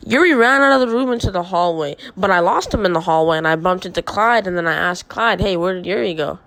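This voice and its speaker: A woman's voice: on the low side, animated, quick pace, fairly bright tone, poor audio. Woman as an earnest detective narrator. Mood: urgent. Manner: earnest, plain storytelling. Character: earnest, determined young detective's assistant narrating. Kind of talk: first-person radio play narration.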